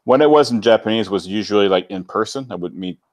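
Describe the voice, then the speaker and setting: A man from Canada with a deep voice, talking casually as an interview guest in a rambling, informal way peppered with "like". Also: quick pace, no room sound. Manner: rambling, informal, filler-heavy with many "like"s. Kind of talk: casual chat, personal storytelling.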